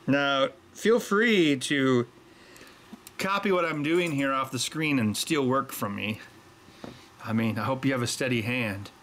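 A man's voice: medium pitch, measured pace, a bit bright. Man chatting at a workbench. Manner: chatty, wanders into joking tangents. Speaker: electronics maker and show host. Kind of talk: casual explanation while working.

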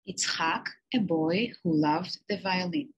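A teacher reading a children's picture book aloud, slow and expressive with emphatic pauses. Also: female voice, fairly low pitch, lo-fi sound.

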